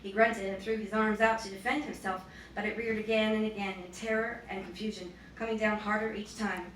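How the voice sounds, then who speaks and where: A young woman, an author giving an expressive reading of her novel at a bookstore event. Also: mid-range voice, fairly quick. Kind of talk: expressive public book reading. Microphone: boom-stand mic at lectern, distant camera. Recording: roomy, distant, noisy bookstore recording.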